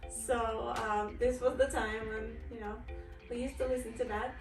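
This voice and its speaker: A woman's voice: on the high side, steady tone, normal speed. A woman with a Ukrainian accent, speaking in a hesitant, casual way with frequent ums, as a dermatologist. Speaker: dermatologist, co-founder. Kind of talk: casual interview chat. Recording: roomy sound from a distant mic with music.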